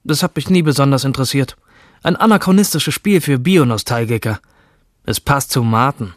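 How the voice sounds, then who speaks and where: A man's voice: medium pitch, normal speed, dry recording, clean studio sound, natural voice. An actor playing an obsessed, detached young man of a future elite, delivering a brooding, introspective monologue in a German radio drama. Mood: detached, wry.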